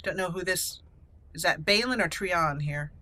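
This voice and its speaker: A woman's voice: lowish voice, normal speed, bright, forceful tone. A woman hosting a let's play, giving roleplay commentary and slipping into regal in-character lines.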